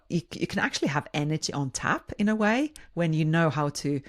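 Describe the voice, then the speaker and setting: A woman's voice: sits low, gravelly. A woman with a Finnish accent hosting a podcast, speaking informally on her own and often rephrasing herself mid-sentence. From Finland. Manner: hesitant, rephrases and repeats words mid-sentence. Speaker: podcast host. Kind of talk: informal solo podcast monologue.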